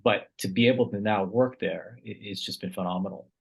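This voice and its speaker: A man's voice: on the low side, brisk, vocal fry, slightly rough. A man from Vietnam, a researcher guest in a casual interview, speaking thoughtfully and often repeating words as he searches for the right phrasing.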